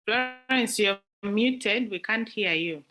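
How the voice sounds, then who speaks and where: A woman's voice: medium pitch, slow pace, sharp tone. A woman with a Ugandan accent hosting an online club meeting, hesitant and full of fillers. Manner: hesitant, fillers and repeated words. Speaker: meeting host. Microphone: video call.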